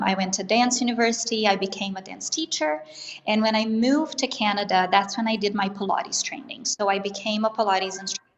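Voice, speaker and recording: A woman's voice: mid-range voice, a bit bright. Upbeat woman, echoey sound. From Brazil. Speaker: guest, Pilates instructor. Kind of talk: casual interview chat.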